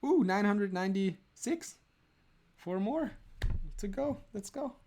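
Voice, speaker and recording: A man's voice: high voice, lively intonation, takes it slowly, a little mellow. A young man from Romania, the host of a live stream, chatting casually with viewers, laughing often and filling his talk with "like". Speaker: streamer answering chat.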